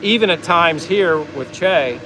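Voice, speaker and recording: A talkative American man hosts a relaxed livestream, drifting from one thought to the next in an easygoing ramble. His voice sits a little higher than most men's. Steady road noise from passing traffic runs underneath the whole time. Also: a little slow, rough recording. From United States. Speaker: vlog host reading viewer comments. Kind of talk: casual livestream chat.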